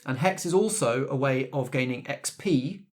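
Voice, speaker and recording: A man's voice: mid-range voice, expressive, moderate pace. Male host and game master, mid-range voice, explanatory podcast delivery. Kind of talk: actual-play podcast hosting, explaining game rules.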